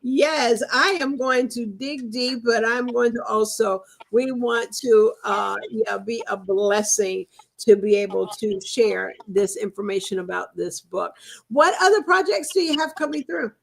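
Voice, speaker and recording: A woman's voice: on the high side, a little slow, creaky, a little hiss or hum, poor audio. A woman hosting a live book talk show, effusive and thankful, with frequent fillers. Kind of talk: casual book talk interview.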